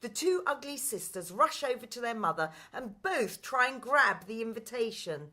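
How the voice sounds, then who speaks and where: A woman's voice: on the high side, animated, normal speed, sharp tone. A woman reading scripted stage directions as the narrator of a radio play.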